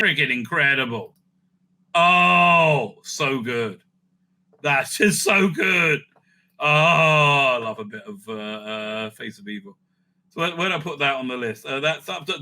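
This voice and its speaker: A man giving casual, rambling fan commentary as he ranks things, excitable and sweary, sometimes muttering to himself. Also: mid-range voice. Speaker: host of a fan ranking show.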